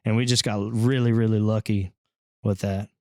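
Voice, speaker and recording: A man's voice: lowish voice, flat delivery, moderate pace, warm tone, dry recording, studio quality. A man from Texas, a band's lead singer talking in a casual, modest, plain-spoken way as a podcast guest. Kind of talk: casual chat.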